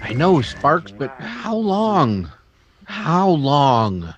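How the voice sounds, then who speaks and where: A man playing a gentle, troubled townsman in a radio western, speaking thoughtfully and hesitantly. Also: on the high side, big pitch swings, very slow, dull audio, a crowd in the background, heavily acted. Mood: troubled, pensive. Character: troubled, gentle, conscientious townsman riding with posse. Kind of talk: old-time radio western acting.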